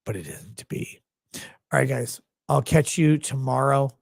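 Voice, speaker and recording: A tired man with a gravelly voice, hosting a livestream and signing off in a rambling, blunt, profane way. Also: mid-range voice, moderate pace, no room sound, clean studio sound. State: tired, low on sleep. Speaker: livestream host. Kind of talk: casual livestream sign-off chat.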